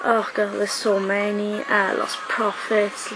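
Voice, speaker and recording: Young woman, chatty vlog, raspy fry, hissy webcam sound. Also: medium pitch, completely flat delivery, takes it slowly. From South Wales. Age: young adult. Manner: chatty, lots of ums and likes. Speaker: vlogger. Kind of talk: casual talking-to-camera vlog. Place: small room indoors. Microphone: webcam at close range.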